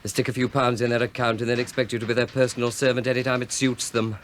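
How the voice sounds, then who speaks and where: An actor playing a flustered, pompous British deputy bank manager in a radio play, fussy and obsequious. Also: male voice, mid-range voice, flat delivery, quick pace, a little hiss or hum, close to an everyday voice. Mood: harried, grumbling. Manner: fussy, obsequious to rich customers.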